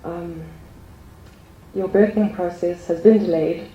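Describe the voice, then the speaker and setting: A woman giving an earnest spiritual testimony to a group, speaking hesitantly with many pauses and ums. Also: lowish voice, fairly even intonation, roomy sound, lo-fi sound, a crowd in the background.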